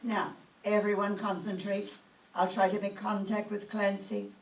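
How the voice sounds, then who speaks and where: A woman playing an excitable, sentimental older Irish widowed landlady in an old-time radio comedy, with an Irish accent. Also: mid-range voice, unhurried, lightly acted. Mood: earnest. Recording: echoey room, distant mic, faint noise.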